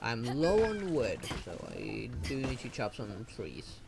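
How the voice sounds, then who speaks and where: A woman streamer giving casual, chatty gameplay commentary, excitable and quick to laugh. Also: a bass voice, gravelly. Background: faint game music.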